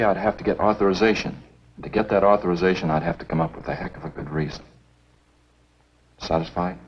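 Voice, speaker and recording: An actor playing a stubborn, principled game warden with a firm, matter-of-fact manner in a 1950s B-movie. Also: male voice, sits low, husky. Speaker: film actor. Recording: dull 1950s film soundtrack.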